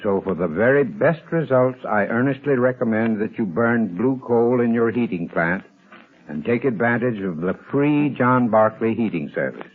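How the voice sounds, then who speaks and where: A man playing an earnest, courteous heating expert, delivering a formal, deliberate scripted 1930s radio ad. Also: mid-range voice, animated, breathy edge. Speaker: advertising spokesman, heating expert. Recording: muffled 1930s radio broadcast.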